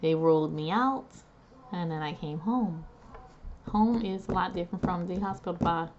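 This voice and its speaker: A chatty woman vlogging from home, talking casually to her viewers and calling them guys, her voice on the low side for a woman. She is still recovering after neck surgery and her voice is getting its strength back. The recording is dull and lo-fi, with clear noise in the background. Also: moderate pace. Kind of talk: casual talk to camera.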